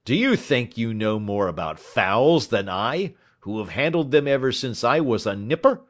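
A man voicing a gruff, hot-tempered poultry salesman in an audiobook, blustering, snappish and emphatic. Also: fairly low pitch, big pitch swings, takes it slowly. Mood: snappish, stubborn. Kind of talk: audiobook character dialogue.

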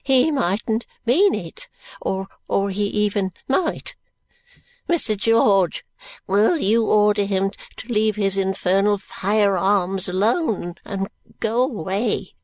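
A man voicing a feeble, grasping old grandfather in an audiobook, wheedling and drawn-out with muttered spite. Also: sits high, no room sound, voice pushed up. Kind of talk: audiobook character voice.

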